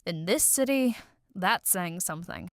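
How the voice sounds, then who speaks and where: An actress playing a cool, teasing society femme fatale in an animated comic, wry and languid. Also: female voice, on the low side, measured pace, dry recording, studio quality. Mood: wry, flirtatious.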